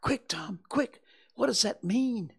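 A man's voice: sits high, a little slow, creaky, voice pushed up, full character voice. A man voicing a frightened, superstitious ragged young boy who speaks in rustic folksy dialect and stammering pleas. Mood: panicked.

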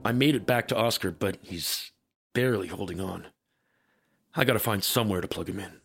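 An actor playing a weary, determined military veteran with failing implants in an audio drama, gritty and urgent. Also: male voice, fairly low pitch, a little fast, creaky, a bit bright, breathy edge, faint music behind, exaggerated voice. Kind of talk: cinematic audio drama acting with noir narration.

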